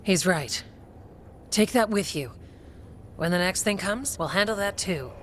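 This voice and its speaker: An actress playing a plain-spoken, steady woman commander in a video game, with matter-of-fact phrasing. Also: mid-range voice, unhurried, a little hiss or hum, lightly acted. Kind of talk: dramatic video game acting.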